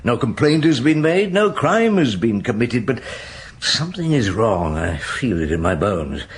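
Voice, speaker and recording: An actor playing a middle-aged police chief inspector in a radio drama, dogged but kindly, musing with gruff authority. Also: male voice, mid-range voice, big pitch swings, a little slow. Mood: probing, uneasy. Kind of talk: naturalistic radio drama acting.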